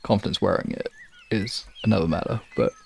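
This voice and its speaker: Guy streaming from his room, super gravelly voice, rambles n swears casually, noisy recording. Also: fairly low pitch, darkish tone. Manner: halting, rambling, informal with swearing. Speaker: streamer chatting with live viewers. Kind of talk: casual livestream chat. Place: room in an apartment.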